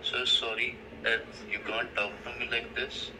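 A man with a very sharp, forceful tone and a mid-range pitch talks through a phone speaker. His replies are short, repetitive and defensive, with faint noise around them. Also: flat delivery, moderate pace, rough recording. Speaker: prank call recipient. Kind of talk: unscripted phone conversation. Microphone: phone speaker picked up in a room.

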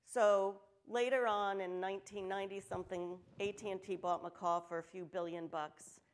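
An older woman from New Jersey giving a conference talk on an auditorium stage, candid and lively, with joking asides. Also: mid-range voice, moderate pace. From New Jersey, United States. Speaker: conference speaker. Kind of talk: storytelling keynote talk. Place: stage of a large auditorium with audience.

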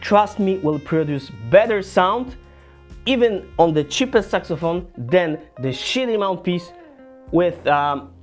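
A man in his thirties from Poland with a Polish accent, a saxophone teacher giving a casual, earnest gear review in halting non-native English, in a small home studio on a camera mic a few feet away. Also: high-pitched, expressive, faint music behind.

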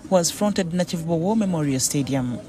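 A news reporter delivering a formal, even voice-over for a TV news report. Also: male voice, on the high side, brisk.

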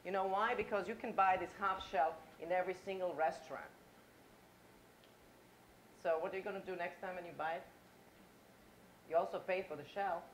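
A woman's voice: lowish voice, steady tone, normal speed, long pauses, a little hiss or hum. A middle-aged woman from Croatia with a Croatian accent, giving a conference talk in a lecture hall and asking the audience questions. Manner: engaging, asks the audience questions.

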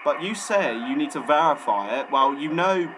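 Deadpan man, noisy line. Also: a little high, moderate pace. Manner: deadpan, persistent, provoking. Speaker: prank caller. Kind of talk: prank phone call.

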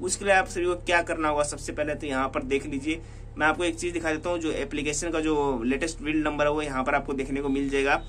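A man's voice: a little high, steady tone, fast, a bit bright, a little hiss or hum. A man with an Indian accent narrating a casual hands-on tech tutorial, explaining repetitively and mixing in English words. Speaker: tech vlogger demonstrating a phone feature.

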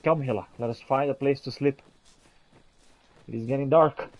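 A man's voice: mid-range voice, moderate pace, no room sound, rough recording. A man giving theatrical, exclamatory roleplay commentary as he plays a game, talking to the characters in it. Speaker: gamer playing in character. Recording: game sounds behind the voice.

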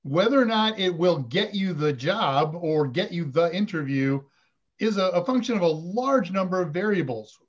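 Older man with a fairly high voice. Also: moderate pace, dull audio. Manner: relaxed, chatty, reads viewer questions aloud. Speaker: host. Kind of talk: live stream Q&A chat. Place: home room with curtains. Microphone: video call webcam.

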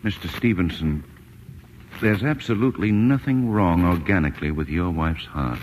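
An actor playing a calm, authoritative physician, measured and professional in 1940s radio style. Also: male voice, sits low. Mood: matter-of-fact. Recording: faintly noisy old radio recording.

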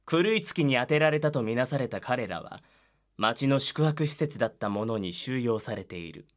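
An actor playing the solemn narrator of a dark voice drama, reading scripted narration. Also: male voice, mid-range voice, lively intonation, moderate pace, lightly acted.

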